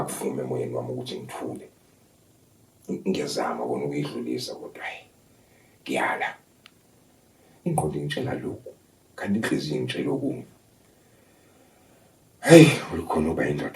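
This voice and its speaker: A man's voice: very deep voice, creaky, darkish tone, reverberant, far from the mic, full character voice, rough recording. An actor playing a conflicted, lovestruck man in a radio drama, dramatic and stammering when flustered. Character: lovestruck, conflicted man muttering about jealousy, sweet-talking his lover.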